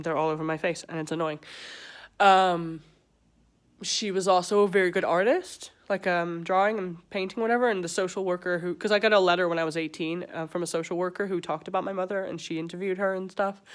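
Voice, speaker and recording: A woman in her twenties from England who speaks with an American accent, talking hesitantly with many pauses and fillers in a personal confessional vlog. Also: lowish voice. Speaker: vlogger.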